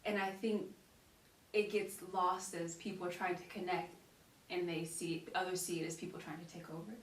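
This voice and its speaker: A woman's voice: fairly low pitch, flat delivery, moderate pace. A woman from the United States, a guest and beauty brand founder, speaking earnestly and reflectively from her own experience. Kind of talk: casual conversational interview. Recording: roomy echo, distant mic, faint hiss.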